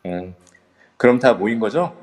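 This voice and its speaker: A man playing a male kendo instructor who leads a ghost-hunting group, calm and in charge. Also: medium pitch, moderate pace, big-room sound, lightly acted. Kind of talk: amateur audio drama acting.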